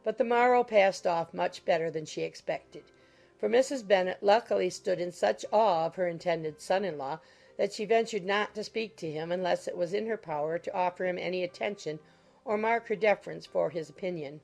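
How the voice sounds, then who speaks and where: A woman narrator reading a classic novel in a measured, literary storytelling manner. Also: fairly low pitch, vocal fry. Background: soft music.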